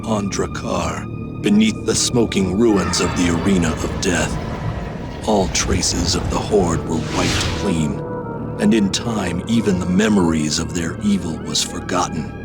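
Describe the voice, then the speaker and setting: Loud music runs under a low-pitched, battle-worn male hero. He narrates a video game legend with grave, measured weight. The delivery is solemn and portentous. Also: huge pitch swings, full character voice. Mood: grave, reverent. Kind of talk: dramatic scripted cutscene narration.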